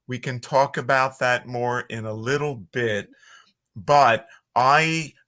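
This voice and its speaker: Slow-talking man with a Philly accent. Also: mid-range voice, pushed and bright. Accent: Philadelphia. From Northeast Philadelphia. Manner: measured, articulate, chatty. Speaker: podcast guest, voiceover artist. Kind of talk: conversational podcast interview. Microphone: audio-only remote podcast call.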